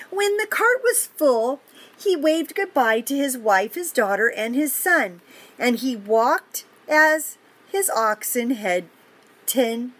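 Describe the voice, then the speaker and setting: An older woman with a fairly high, slightly husky voice reads a storybook to young children. She breaks off for simple, encouraging asides, pointing things out as she goes. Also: fairly bright tone. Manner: encouraging, explains pictures simply to young listeners. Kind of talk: picture-book read-aloud with chatty asides to children.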